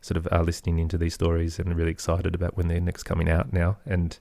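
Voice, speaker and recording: A man's voice: sits low, monotone, fast, vocal fry, warm tone, no echo. A middle-aged man giving thoughtful, earnest answers as an interview guest in a conversational way. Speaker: interview guest, podcaster and musician.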